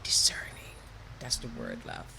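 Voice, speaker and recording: A woman's voice: moderate pace, in a whisper. A woman with a Jamaican accent hosting a radio show, chatting casually, often laughing and calling people love. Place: radio studio.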